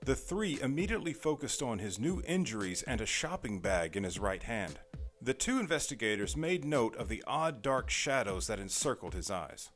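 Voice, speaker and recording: A man's voice: mid-range voice, sing-song, moderate pace, fairly bright tone, faint music behind. A man reading scripted narration for an audio drama as its narrator.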